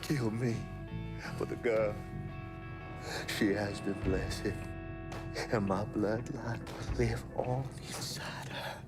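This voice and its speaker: An actor playing an unrepentant, fanatical cult follower in a game, grave and declaiming, with a gravelly voice. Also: male voice, fairly low pitch, steady tone, takes it slowly, long pauses, over loud music. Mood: grave, defiant. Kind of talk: dramatic game cutscene acting.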